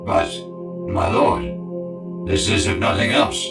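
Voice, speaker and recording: Casting: man as respectful, persistent junior tech-priest investigator, deep gravel, even monotone, echoing chamber sound. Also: takes it slowly, full character voice. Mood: insistent. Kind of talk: audio drama acting. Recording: echoing voice under loud music.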